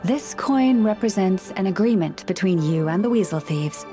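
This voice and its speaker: A woman voice actor plays a gentle forest caretaker in a video game. She is a woman who speaks soothingly and with measured care at a middle pitch, kind toward every animal. Music plays behind her. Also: lively intonation, lightly acted. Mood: kindly. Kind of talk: video game character dialogue.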